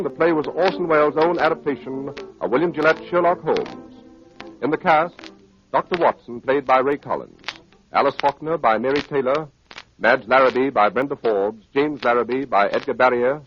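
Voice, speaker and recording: A man's voice: medium pitch, fairly bright tone. An American man announcing in a formal 1930s network radio style. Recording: muffled old radio broadcast with music.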